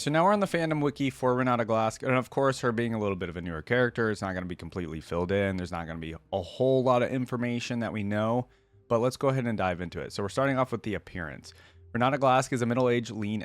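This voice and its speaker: A man's voice: lowish voice, no room sound. An American man hosting a reaction video, reading aloud and adding casual, conversational asides as he thinks aloud. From United States.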